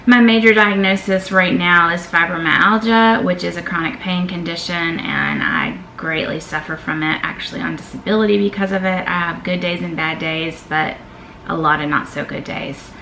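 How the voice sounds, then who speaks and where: Gravelly woman in an echoey room. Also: on the low side, expressive, normal speed, pushed and bright, a little hiss or hum. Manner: chatty, candid, reads each question aloud then answers. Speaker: vlogger. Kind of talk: casual Q&A vlog.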